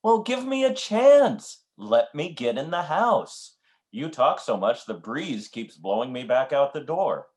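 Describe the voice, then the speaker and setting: A man's voice: mid-range voice, measured pace. A man playing an affable but exasperated banker husband in an old-time radio comedy. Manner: animated, exasperated.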